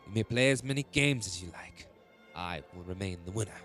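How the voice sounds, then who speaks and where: A man's voice: low-pitched, lively intonation, fairly quick, a bit bright, faint music behind. A man playing a cold, scornful king and domineering father in an audio drama, speaking with threat.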